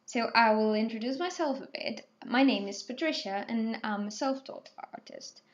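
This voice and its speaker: A woman's voice: a little high, moderate pace, highs cut off. A woman in her twenties, raised in Spain and not a native English speaker, rambling casually with many ums and self-corrections while she talks through her painting. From Portugal, raised in Spain. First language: Spanish or Portuguese. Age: 20s. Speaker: artist, channel host. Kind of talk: casual talkthrough over painting.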